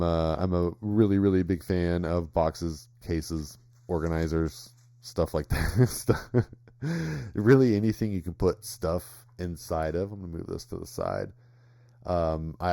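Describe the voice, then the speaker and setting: A man's voice: deep voice, mellow, no echo. A young man chatting casually at home late at night while unboxing gear. He rambles, restarts and chuckles often, and his voice is dry and crackly.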